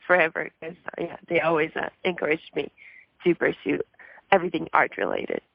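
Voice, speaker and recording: A woman with a hoarse, gravelly voice talks about her music, shy and full of fillers and soft giggles. The sound is dry and slightly dull, as from a lo-fi recording. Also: low voice, lively intonation, fairly quick, no room sound. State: hoarse from a throat issue. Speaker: musician guest. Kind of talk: casual interview answers.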